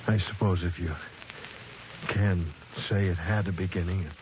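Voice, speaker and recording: A man's voice: sits low, steady tone, natural voice. A man playing a haunted young explorer. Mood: tormented. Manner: tense, halting, pleading. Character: troubled young male explorer, feverish and wounded. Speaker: character in a vintage radio drama. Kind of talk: 1940s radio acting. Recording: muffled, hissy vintage radio recording.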